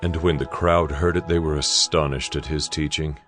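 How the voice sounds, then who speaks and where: A man narrating scripture in a deliberate, storytelling way. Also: extremely low pitch, steady tone, a bit bright, faint music behind.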